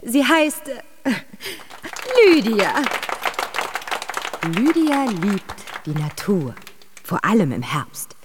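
An actress playing an effusive, grandiose presenter of a children's contest, announcing with showy emphasis in a radio drama. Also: female voice, medium pitch, sing-song, slow pace, long pauses. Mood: showy, excited. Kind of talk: radio drama acting as stage host. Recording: reverberant hall, loud crowd and noise.

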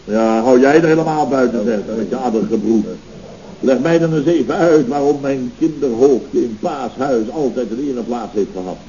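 An actor playing a pompous, touchy middle-aged boss of a building firm, blustering theatrically in an old radio comedy. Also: male voice, mid-range voice, normal speed, mellow, lightly acted. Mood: indignant. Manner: blustering, theatrical, muddles words and sayings. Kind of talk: 1970s Dutch radio comedy acting. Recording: muffled, hissy old radio recording.

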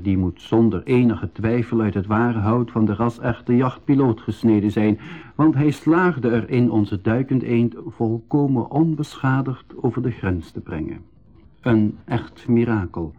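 An actor playing a young traveller who tells his own adventure in measured radio drama narration. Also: fairly low pitch, narrow, tinny audio. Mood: admiring narration. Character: young male traveller recounting his adventure, admiring, timid. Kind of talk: scripted first-person radio drama narration.

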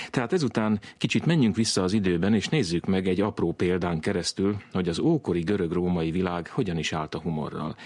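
A male radio science host narrating a scripted segment in a measured, explanatory way. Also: low-pitched, darkish tone, no echo.

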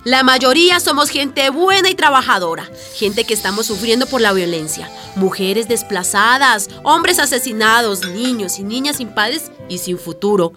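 A woman's voice: on the high side, lively intonation, normal speed, few pauses, sharp tone, soft background music. A woman playing a spirited but wounded Colombian refugee in a radio soap, earnest and forthright, with a Colombian accent. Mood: pained. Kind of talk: radio soap opera acting.

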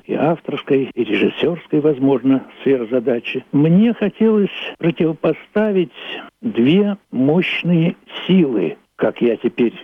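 An older man, a playwright, reflecting on his work in a thoughtful, literary and deliberate way. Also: on the high side, dry recording. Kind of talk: reflective interview monologue about own work. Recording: thin phone-like radio recording.

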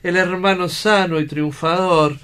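An actor playing a learned, analytical doctor in a radio play, with deliberate, lecturing delivery. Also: male voice, high voice, steady tone, pushed and bright, clean tone. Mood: grave, explanatory. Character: learned, measured male doctor, gravely analytical. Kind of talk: theatrical radio play acting.

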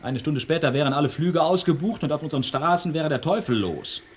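An actor as a brusque official, swinging intonation. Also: male voice, on the high side, animated, fairly quick, a little hiss or hum, natural voice. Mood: urgent pleading. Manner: brusque, dismissive. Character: evasive male forestry official, dismissive, patronizing, quietly threatening. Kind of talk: German radio play acting.

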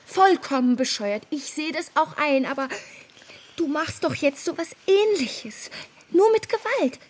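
An anxious, reasoning woman in a radio drama. Her voice sits high, and she speaks haltingly and insistently, trying to talk people round. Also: animated. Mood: conceding, insistent. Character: anxious, reasoning woman pleading to calm an attacker.

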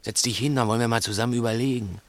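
An actor in a 1960s German radio play playing a cunning, domineering crook who is menacing, insistent and patronising. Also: male voice, medium pitch, fairly even intonation, rapid-fire, lightly acted. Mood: commanding, tense. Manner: insistent, theatrical, probing with rhetorical questions.

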